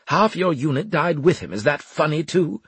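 A man's voice: a little high, very animated, moderate pace, fairly bright tone, slightly rough, dry recording, voice pushed up, exaggerated voice. A man voicing a haughty, irritable military officer for an audiobook, clipped, sarcastic and demanding. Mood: exasperated, sarcastic.

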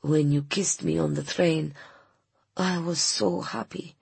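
A woman voicing a sad, earnest young immigrant working man in an audiobook, speaking halting, simple foreign-learner English, homesick and wistful. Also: low-pitched, fairly even intonation, creaky, darkish tone, breathy edge, no room sound, full character voice. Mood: downcast, apologetic. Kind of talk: audiobook character dialogue.

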